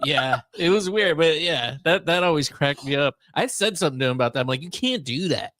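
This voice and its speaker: A man hosting a casual talk radio show, chatting in an easygoing, wry, measured way. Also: a little high, animated, a bit bright, no room sound.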